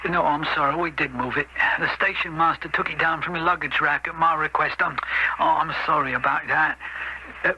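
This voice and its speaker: An actor playing a deferential, slightly flustered train guard in a radio drama, hesitant and eager to help. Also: male voice, a little high, fairly even intonation, fairly quick, pushed and bright, slightly rough, lo-fi sound, heavily acted. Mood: flustered, apologetic. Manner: hesitant, apologetic, chatty. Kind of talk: 1970s radio drama acting. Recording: noisy old radio broadcast recording.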